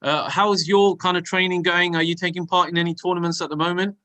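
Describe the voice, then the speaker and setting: A man hosts a live online programme for young people. His voice sits fairly high and has a bright, forceful edge. He talks in an encouraging, motivational way. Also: fairly even intonation, quick pace. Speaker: presenter of a children's religious programme. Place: live video call.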